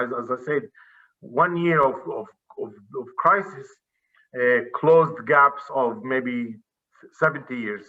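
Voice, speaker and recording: A man's voice: mid-range voice, narrow, tinny audio. A man from Israel, giving an earnest, explanatory talk over a video call. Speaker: presenter, director of a civic center.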